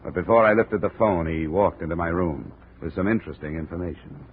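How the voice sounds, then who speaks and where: An actor playing a hard-boiled insurance investigator who narrates a 1950 radio drama in a terse, tough way. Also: male voice, sits low, fast talker. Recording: tinny old radio broadcast with hiss.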